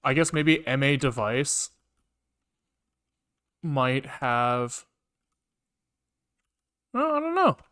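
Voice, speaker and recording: Bright, clear-voiced man thinking aloud. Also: mid-range voice, wildly swooping pitch. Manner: muttering to himself, halting, trailing off and questioning himself. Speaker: live-coding streamer, programmer. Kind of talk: casual thinking aloud while programming on a livestream.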